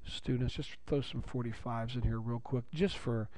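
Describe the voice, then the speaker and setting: A man with a raspy voice teaching a geometry lesson, upbeat and chatty, repeating key phrases for his students. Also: medium pitch, fairly even intonation, moderate pace, darkish tone, dry recording, poor audio. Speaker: math teacher. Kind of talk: recorded classroom lecture.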